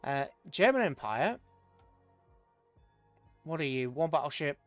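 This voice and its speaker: A man's voice: on the high side, very animated, measured pace, a bit bright, dry recording, highs cut off, soft background music. A British man casually commentating a strategy game on a livestream, chatting with viewers and muttering rambling asides to himself as he plays.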